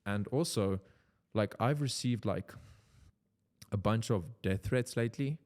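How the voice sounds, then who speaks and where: Casual South African man, lowish voice. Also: measured pace, darkish tone. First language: Afrikaans. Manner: loose and rambling, many "like" fillers. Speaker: content creator. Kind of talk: unscripted casual vlog talk.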